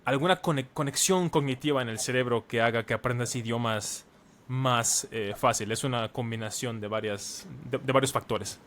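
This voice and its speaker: A man's voice: medium pitch, moderate pace, a bit bright. A man in his thirties, a language teacher and author, talking as a podcast guest and explaining at length with plenty of examples. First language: Spanish. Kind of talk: casual podcast conversation.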